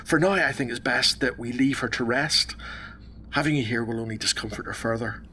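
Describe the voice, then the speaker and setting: A man playing a calm, measured hospital doctor in a radio drama, professional, reassuring and deliberate. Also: mid-range voice, a little hiss or hum, natural voice. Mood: composed, serious.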